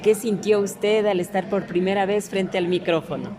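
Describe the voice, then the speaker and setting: A woman's voice: mid-range voice, steady tone, normal speed. A woman, posing prepared questions as an interviewer. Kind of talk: scripted-sounding interview questions. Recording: noticeable background noise.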